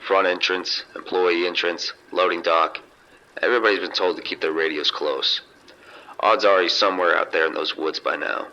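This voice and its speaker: Casino security chief, male actor: man, deep voice, matter-of-fact radio-drama delivery, muffled walkie-talkie sound with some hiss. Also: fairly even intonation, sharp tone. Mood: grave, composed. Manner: measured, detailed incident reporting. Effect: two-way radio. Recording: dull, noisy two-way radio sound.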